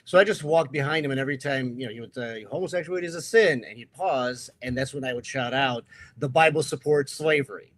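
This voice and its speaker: Casting: man with a loud, projecting voice for a relaxed livestream guest, loose and rambling delivery. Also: medium pitch, fast talker, no room sound. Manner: loose storytelling with frequent uh fillers. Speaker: guest, atheist group president. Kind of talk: casual livestream chat.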